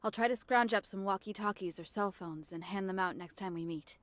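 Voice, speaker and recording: An actress playing a steadfast, fierce young female soldier in a video game drama, with a firm, deliberate delivery. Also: mid-range voice, natural voice. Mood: matter-of-fact.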